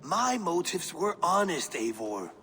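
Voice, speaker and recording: A pompous, indignant artist settler. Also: male voice, fairly high pitch, sing-song, a little slow, pushed and bright, heavily acted. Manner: pompous, self-righteous, theatrical. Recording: long hall reverb, faint noise.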